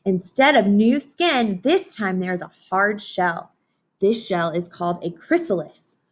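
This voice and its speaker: Woman, mid-pitched voice, children's read-aloud, teacherly, expressive, instructive delivery. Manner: expressive, instructive, with dramatic pauses and hushed asides. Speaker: teacher reading a picture book aloud to children. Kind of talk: children's read-aloud with explanations and questions to listeners.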